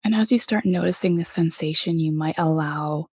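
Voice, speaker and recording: A woman leading a guided meditation as teacher, speaking gently in a soft voice with many pauses. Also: deep voice, breathy edge, dry recording, clean studio sound. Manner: gentle, unhurried, pausing often between phrases.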